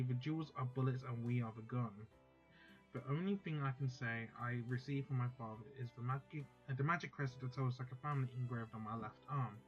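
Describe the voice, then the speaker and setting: A man's voice: mid-range voice, natural voice. A proud teenage girl magus tells of her morning in a visual novel, read aloud by a man in his own middling voice. The reading is plain, with little change, tripping over words and fixing them. The sound is dull and lo-fi, with faint music running underneath. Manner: plain reading with stumbles and self-corrections.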